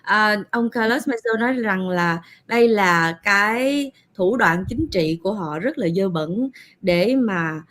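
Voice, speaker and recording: A woman interviewer with a Vietnamese accent, talking quickly and animatedly with many fillers. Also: mid-range voice, animated, fairly bright tone. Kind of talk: interview and translating.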